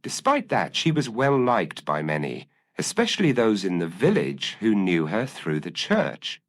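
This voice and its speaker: A man's voice: on the low side, wildly swooping pitch, unhurried, voice pushed up. A man voicing an earnest, anxious village constable who speaks formally and uneasily in an audio mystery. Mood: uneasy, grave.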